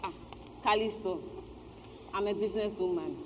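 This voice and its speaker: A woman's voice: medium pitch, normal speed, lightly acted. An actress plays a brusque West African market food seller, curt and scolding in stage style. Her mid-range voice comes from an echoey hall with faint noise. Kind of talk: stage comedy-drama acting. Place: theatre stage.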